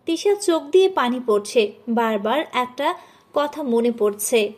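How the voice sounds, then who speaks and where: Female narrator for a scripted romantic drama audio story, notably high voice. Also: expressive, measured pace, darkish tone.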